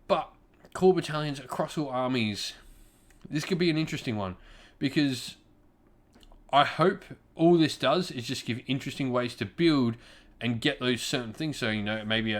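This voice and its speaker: A man with a medium-pitched voice hosts a gaming channel. He talks in an informal, conversational style, offering commentary and speaking straight to his viewers. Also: normal speed. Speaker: YouTube gaming channel host. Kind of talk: casual opinion commentary to viewers.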